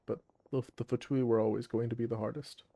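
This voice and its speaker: A man's voice: mid-range voice, steady tone, quick pace, creaky, dark tone, slight background noise. A man giving casual let's play commentary, thinking aloud as he goes. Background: game music.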